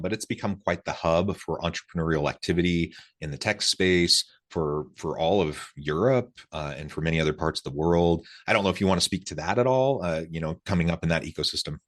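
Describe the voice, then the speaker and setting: A man's voice: low-pitched, brisk, fairly bright tone. A man hosting a podcast interview in a conversational way, wordy and often doubling back on his words. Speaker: podcast host.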